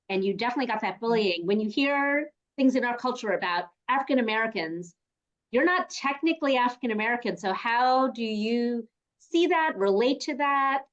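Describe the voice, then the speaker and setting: A woman's voice: a little high, highs cut off. A woman hosting a podcast interview, affirming and encouraging her guest. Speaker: podcast host. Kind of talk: interview conversation.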